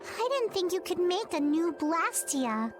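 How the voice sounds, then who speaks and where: An earnest young heroine in a video game. Her voice is high and lively, thoughtful and inquisitive, with music clearly behind it. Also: unhurried, full character voice. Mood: earnest, puzzled. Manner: earnest, questioning. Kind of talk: scripted video game acting.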